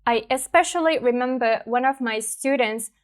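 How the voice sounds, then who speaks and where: Finnish woman, language teacher, thoughtful casual delivery, slightly high-pitched. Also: moderate pace. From Finland. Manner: thoughtful, conversational, frequent "right?" and fillers. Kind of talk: reflective personal talk to camera.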